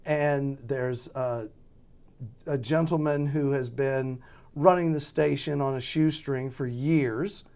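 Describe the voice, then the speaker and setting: An American man being interviewed as a radio drama producer, talking casually and haltingly as he thinks aloud. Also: medium pitch, fairly even intonation, a little mellow.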